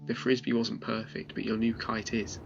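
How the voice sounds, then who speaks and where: A man with a gravelly, creaky voice reads a spoken word poem in a measured, reflective recital. The recording is slightly muffled and lo-fi, with guitar music playing clearly underneath. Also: medium pitch, monotone. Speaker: poetry reader. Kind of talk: spoken word poetry reading. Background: soft guitar music.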